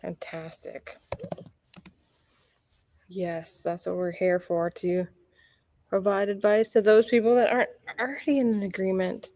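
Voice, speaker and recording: A woman's voice: mid-range voice, fairly even intonation, a little slow, long pauses, mellow. Upbeat woman realtor host. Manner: chatty, upbeat, self-correcting. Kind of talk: casual real estate chat. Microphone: computer mic near a keyboard.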